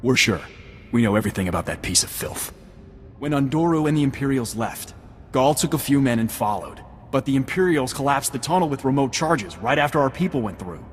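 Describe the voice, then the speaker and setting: An actor playing an embittered, loyal soldier of a prisoner commando unit in a video game, intense and emotional. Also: male voice, mid-range voice, animated, a little fast, close to an everyday voice. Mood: bitter, urgent. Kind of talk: video game dramatic dialogue. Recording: long reverb, music underneath.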